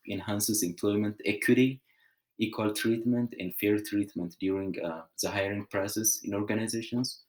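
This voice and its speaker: Earnest low-voiced man. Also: darkish tone, low-quality recording. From Ethiopia. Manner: earnest, measured, with small restarts. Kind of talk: prepared presentation.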